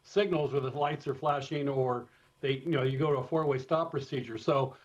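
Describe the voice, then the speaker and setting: A man with an American accent explaining things informally and in measured fashion as an expert guest in a live interview, over a remote feed. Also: mid-range voice, fairly even intonation. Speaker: expert guest, former airport communications director. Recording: dull, slightly lo-fi remote feed.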